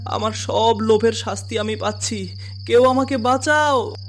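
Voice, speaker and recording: A man voicing a greedy cartoon villain, pleading in high-pitched panic. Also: measured pace, soft background music. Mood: terrified. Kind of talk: dramatic cartoon story acting.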